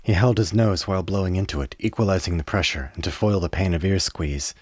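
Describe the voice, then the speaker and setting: A man narrating a radio play in a measured storytelling manner. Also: low voice, a little mellow, breathy edge, dry recording, clean studio sound.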